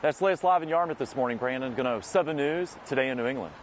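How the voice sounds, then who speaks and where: A man's voice: medium pitch, quick pace, noisy background. A man reporting live from the scene as a TV field reporter, speaking in broadcast style. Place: outdoors at a storm-damage scene.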